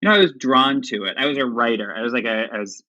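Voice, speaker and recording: A man's voice: mid-range voice, expressive, quick pace, bright, forceful tone, dry recording, lo-fi sound. A man in his forties from Dallas, Texas, talking as a company founder in a casual interview, often restarting and repeating words.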